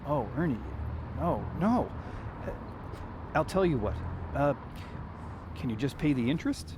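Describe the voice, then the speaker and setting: Guy acting a young man in a radio play, folksy n halting, voice goes up and down, bit of noise. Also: on the high side, very animated. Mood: kindly, concerned. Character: restless young man, sardonic, kindhearted, flustered suitor. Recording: faint background noise.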